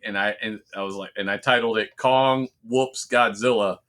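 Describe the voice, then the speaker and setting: A man's voice: lowish voice, steady tone, moderate pace, a bit bright. A middle-aged man chatting casually about pop culture as a podcast co-host, rambling and telling stories with some swearing.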